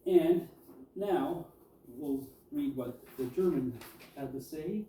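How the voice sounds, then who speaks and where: A man's voice: on the high side, takes it slowly, warm tone, slight background noise. A man presenting as a collector and historian, reading articles aloud and adding informal commentary. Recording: echoey room, distant mic.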